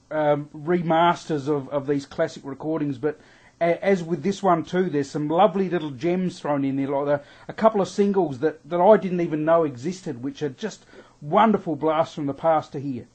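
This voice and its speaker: A man's voice: fairly high pitch, darkish tone. A man from Adelaide with an Australian accent, interviewing a guest in a chatty way and often repeating words as he starts. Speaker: radio interviewer. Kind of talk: casual radio interview.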